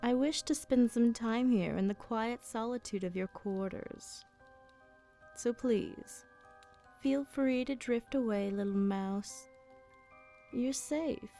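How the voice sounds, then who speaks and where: A mellow, dark-toned vampire noblewoman acted out by a woman speaks very slowly over music. She is formal and possessive, with a condescending, softly threatening poise suited to an intimate ASMR roleplay. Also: a little high, vocal fry. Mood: soothing, faintly menacing. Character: aristocratic female vampire, coolly menacing, possessive, condescending. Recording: music behind the voice.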